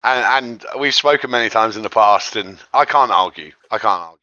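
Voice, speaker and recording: A man's voice: on the low side, a little fast, pushed and bright, no echo. A man speaking as a guest in a casual geeky debate, emphatic and repeating points for stress, on a dull-sounding recording. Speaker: guest panelist. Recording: dull, close, dry microphone sound.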